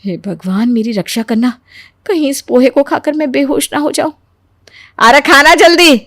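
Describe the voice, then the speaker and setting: Woman acting a grumbling, high-voiced mother-in-law. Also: moderate pace, dry recording, clean studio sound. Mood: dread, impatience. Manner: exasperated, muttering asides, sharp retorts. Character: grumbling, wary middle-aged Indian mother-in-law. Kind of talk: animated moral story voice acting.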